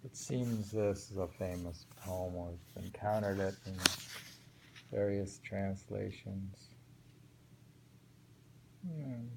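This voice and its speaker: A man's voice: deep voice, creaky, warm tone, low-quality recording. A man casually reading translated poems aloud and musing about them, hesitant and thinking aloud. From New York. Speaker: poetry reader. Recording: faint background noise.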